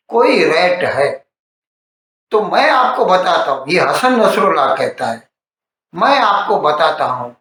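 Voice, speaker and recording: A man with a high-pitched voice explains current affairs in a conversational monologue. He speaks slowly, restating phrases for emphasis like a storyteller. The recording rings with heavy room echo. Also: pushed and bright. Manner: storytelling, repeats and rephrases for emphasis. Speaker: news commentator. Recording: strongly echoing, reverberant room.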